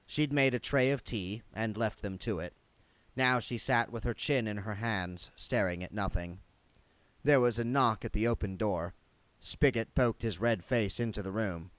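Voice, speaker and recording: A man's voice: lowish voice, normal speed, no echo. A man reading a novel aloud as an amateur narrator, with dramatic storytelling delivery. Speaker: amateur book reader. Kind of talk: scripted audiobook narration.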